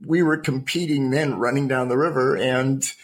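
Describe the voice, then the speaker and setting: A man's voice: medium pitch, steady tone, normal speed, rough recording. An older man from the United States, sharing personal history as an interview guest. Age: older, 60s or 70s.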